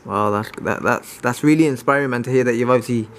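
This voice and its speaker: A man's voice: medium pitch, fast talker, slight background noise. A male interviewer talks in a mid-range voice. He is informal and conversational, framing questions loosely and checking back as he goes. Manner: informal, often says "man" and checks back with tag questions. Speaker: interviewer and vlogger. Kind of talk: casual interview chat.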